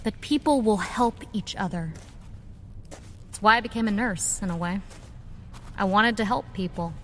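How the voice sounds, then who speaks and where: An actress plays a spirited young woman, a nurse turned vigilante, in a radio play. Her voice is medium in pitch, frank and wry, with faint ambience behind it. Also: moderate pace, slight background noise, lightly acted. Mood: earnest, reminiscing. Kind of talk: audio drama acting.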